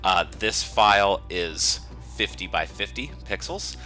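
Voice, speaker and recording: A man narrating an informal software tutorial in a conversational, explanatory way. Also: on the low side, expressive, measured pace, soft background music. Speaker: software developer teaching a tutorial.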